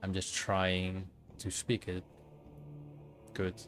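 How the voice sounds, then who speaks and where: A man's voice: low voice, monotone, very slow, a bit bright. A Dutch man streaming a game and chatting with viewers, thinking aloud with many fillers and restarts. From Netherlands. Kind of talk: casual livestream commentary while gaming.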